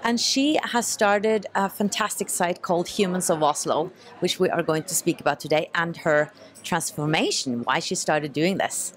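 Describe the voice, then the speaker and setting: A woman's voice: on the low side, normal speed. A woman hosting an interview from a cafe, welcoming her guest and asking leading questions. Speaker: interview host. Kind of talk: hosted interview.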